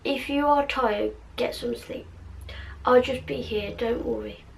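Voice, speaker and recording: A woman voicing a worried, devoted, stubbornly caring teenage girl witch from a story, softly and hesitantly. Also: fairly high pitch, a little slow, natural voice, poor audio. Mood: worried, insistent.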